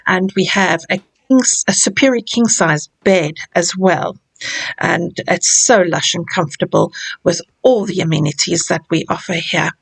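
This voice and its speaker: Low gravelly woman giving a tour. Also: normal speed. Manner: gentle, with small restarts and slips. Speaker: hotel tour guide. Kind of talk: guided room tour and legend narration.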